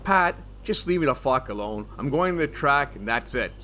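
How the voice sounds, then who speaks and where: A man playing a brash, smooth-talking gambler in a comedy audio drama, with a cocky, offhand delivery. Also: medium pitch, animated, normal speed, sharp tone, a little hiss or hum. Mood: irritated.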